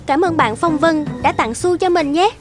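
A woman voicing an emotional, stubborn young woman in a cartoon, expressive and emphatic. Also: high voice, normal speed, music in the background, lightly acted. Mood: cheerful. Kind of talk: animated cartoon dialogue.

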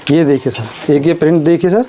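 A man's voice: a little high, brisk, mellow, a little hiss or hum. A man selling garments in a warehouse, eagerly holding up clothes and repeating polite calls to look. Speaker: garment shop seller. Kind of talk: sales pitch showing clothes.

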